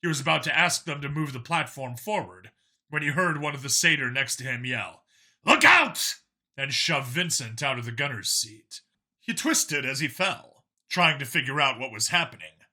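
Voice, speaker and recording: Bright, forceful male story narrator. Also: fairly high pitch, expressive, normal speed. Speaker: audiobook narrator. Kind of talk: scripted dramatic story narration.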